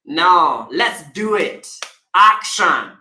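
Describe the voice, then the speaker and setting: A man speaks as a life coach, earnest and motivational, pushing his listeners toward action. His voice is bright and forceful. The sound carries a noticeable room echo. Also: high-pitched, sing-song, drawn-out words. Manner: earnest, motivational, with emphatic exhortations. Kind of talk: coaching conversation.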